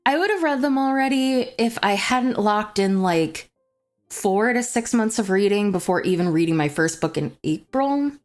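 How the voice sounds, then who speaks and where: A woman's voice: medium pitch, animated, normal speed, soft background music. A woman hosting a book vlog, chatting in a semi-scripted, enthusiastic way. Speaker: book vlogger, host. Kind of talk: casual chat to camera, semi-scripted book review.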